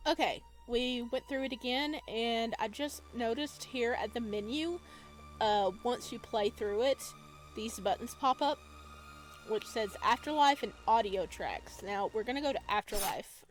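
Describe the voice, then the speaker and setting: A woman hosting a gaming video, reading visual novel text aloud and commenting in an expressive, chatty way. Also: a little high, measured pace, poor audio. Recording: music behind the voice. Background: background music.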